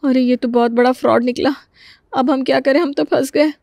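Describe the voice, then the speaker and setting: A woman voicing an indignant, sharp-tongued married landlady who scolds and exclaims in a comic story. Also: sits high, fairly even intonation, fairly quick, creaky, no echo, clean studio sound. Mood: flustered. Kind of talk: Hindi comic story dramatization.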